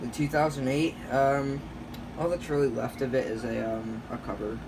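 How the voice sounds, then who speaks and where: A man giving a casual, unscripted show-and-tell as a hobbyist vlogger, rambling and halting, often correcting himself as he goes. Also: mid-range voice, steady tone. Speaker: hobbyist vlogger showing a radio collection. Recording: clear background noise, home video.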